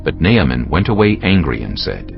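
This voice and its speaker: A man narrating a dramatized scripture audiobook in a solemn, measured storytelling manner. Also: rumbling low, very animated, gravelly. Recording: dull lo-fi sound, faint music bed.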